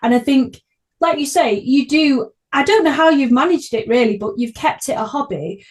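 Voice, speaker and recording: A woman's voice: high-pitched, moderate pace. A woman hosting a podcast, talking in a casual, conversational way. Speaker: podcast host.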